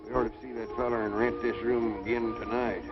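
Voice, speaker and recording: A man's voice: medium pitch, flat delivery, brisk, loud music behind the voice, natural voice. A man acting a folksy, naive, stubborn country man with a rustic Southern American drawl in a 1940s radio comedy. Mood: weary, friendly. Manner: rustic drawl with homespun expressions. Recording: noisy, muffled old radio recording.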